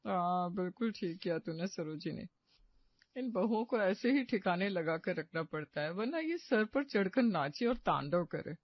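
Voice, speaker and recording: A woman's voice: fairly low pitch, lively intonation, unhurried, no echo, lo-fi sound. A woman playing a bossy, theatrical middle-aged mother-in-law in a cartoon story, nagging with sarcasm and melodrama. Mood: scornful. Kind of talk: animated moral-story cartoon acting.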